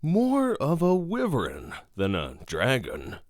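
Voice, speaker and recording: An actor playing an aloof, sardonic god in a fantasy audio drama, with a dry, disdainful way of talking. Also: male voice, medium pitch, huge pitch swings, takes it slowly, no echo. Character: aloof, disdainful male deity, dryly sardonic.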